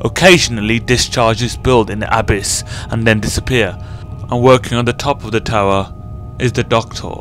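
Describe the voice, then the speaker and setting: A man's voice: medium pitch, steady tone, normal speed. A man reading scripted narration for an amateur audio drama. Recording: music behind the voice.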